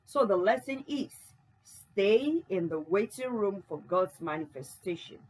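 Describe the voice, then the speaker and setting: A woman's voice: medium pitch, lively intonation, unhurried, breathy edge, poor audio. A woman reading a reflective male narrator in a stage play, thoughtful and questioning.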